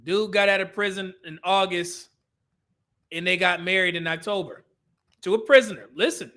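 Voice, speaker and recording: A man's voice: sits high, moderate pace, bright, forceful tone. A male commentary host ranting over clips, blunt and profane, with a preachy edge.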